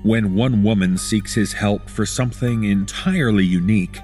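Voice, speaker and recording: Man hosting, measured delivery over music. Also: on the low side, a little mellow. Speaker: podcast host. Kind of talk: scripted narration. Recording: music under the voice. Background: background music.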